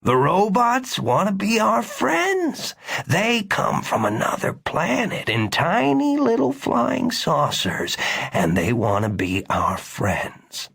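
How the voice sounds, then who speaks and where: Guy doing a calm coaxing doctor, slow and soft, humoring someone. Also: high-pitched, creaky, breathy edge, voice pushed up, exaggerated voice. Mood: soothing. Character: gentle, soothing male hospital doctor.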